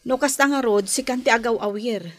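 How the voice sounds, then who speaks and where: A woman's voice: on the high side, brisk, a bit bright, close to an everyday voice. An actress playing a patient but firm, long-suffering wife and mother in an Ilocano radio drama, insistent and pleading.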